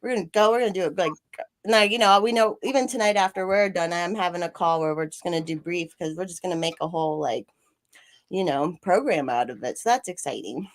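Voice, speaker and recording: A woman's voice: fairly low pitch, a little fast. A woman hosting a casual livestream chat, rambling and swearing freely, laughing often. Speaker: podcast host, former city council candidate.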